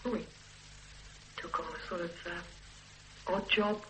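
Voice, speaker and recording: A woman's voice: lowish voice, steady tone, takes it slowly, slight background noise, low-quality recording. An actress in a radio drama playing a young mother in her thirties who answers police questions in a defensive, tense way. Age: 30s. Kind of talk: 1980s radio drama acting.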